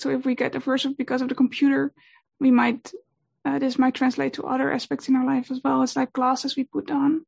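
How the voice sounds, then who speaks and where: Soft-spoken Dutch woman teaching meditation. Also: high voice, gravelly, husky. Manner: gentle, unhurried, with many fillers. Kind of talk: dharma talk on meditation.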